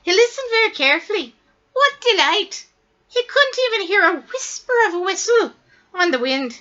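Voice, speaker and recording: Female storybook reader, bright and very lively, dramatic emphasis, slightly dull recording. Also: extremely high pitch, moderate pace. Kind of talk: expressive read-aloud of a children's story.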